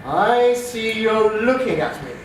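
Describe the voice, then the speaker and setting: Man doing a boastful Wild West stranger, French accent, loud and brash, distant mic, big echo. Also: high voice, expressive, takes it slowly, slight background noise. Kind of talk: live improv scene. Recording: distant, very echoey live venue.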